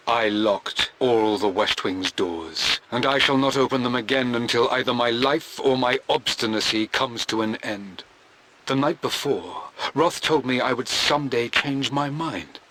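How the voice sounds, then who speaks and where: A man's voice: medium pitch, a little slow, pushed and bright, a little hiss or hum, poor audio. An actor playing a stubborn, devoted aristocratic explorer with a British accent, narrating his diary formally and theatrically in a video game. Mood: bitter grief.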